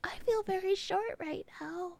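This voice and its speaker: A woman's voice: very high-pitched, measured pace, creaky, darkish tone, no echo. A woman co-hosting a casual live-stream show, playful and laughing often. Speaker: podcast co-host.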